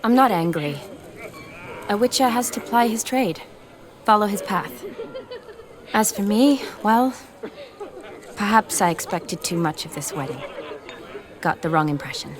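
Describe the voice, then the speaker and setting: An actress voicing a gentle young woman in a game cutscene, speaking in a soft, measured, wistful way. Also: medium pitch, expressive, crowd noise, lightly acted.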